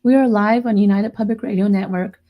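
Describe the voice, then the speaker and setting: A woman hosting a live paranormal radio show, hoarse and losing her voice, chatting excitedly with her listeners. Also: medium pitch, a little fast, highs cut off. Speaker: radio podcast host. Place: home studio near Las Vegas.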